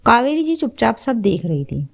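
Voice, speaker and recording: A woman's voice: on the high side, big pitch swings, moderate pace, creaky. Woman narrating a story.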